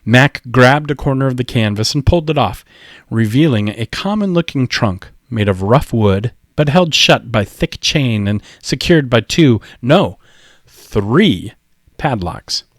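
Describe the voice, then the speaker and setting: Male audiobook narrator, mid-range voice, expressive scripted storytelling, close dry recording. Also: mid-range voice, a little slow, no echo.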